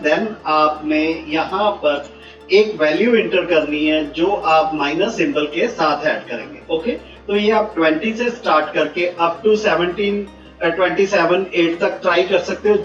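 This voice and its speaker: High-pitched man giving a software tutorial. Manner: instructional, peppered with English technical words. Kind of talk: step-by-step screen tutorial. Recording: muffled, roomy, distant mic, faint music.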